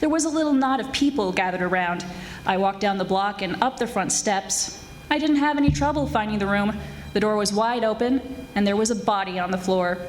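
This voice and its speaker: A woman playing a witty, tough private detective in a 1940s-style radio drama, with brisk wisecracking narration. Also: mid-range voice, slight background noise, close to an everyday voice, rough recording. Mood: cool, wry.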